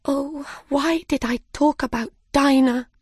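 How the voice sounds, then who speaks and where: Polite, earnest storybook little girl played by a woman, raised pitch, lilting widely, childlike. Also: expressive, a little slow, slightly rough, no echo, studio quality, exaggerated voice. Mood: sorry, wistful. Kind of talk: children's storybook character reading.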